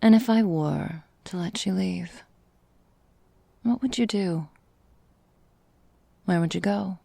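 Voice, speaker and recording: Casting: actress as seductively menacing female vampire for ASMR roleplay. Very gravelly fry, breathy and husky, slow and deliberate, melancholy. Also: mid-range voice, warm tone, no room sound. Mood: softly menacing. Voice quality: breathy, husky, half-whispered. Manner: slow, deliberate, archaic formal phrasing. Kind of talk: ASMR roleplay, intimate dramatic monologue.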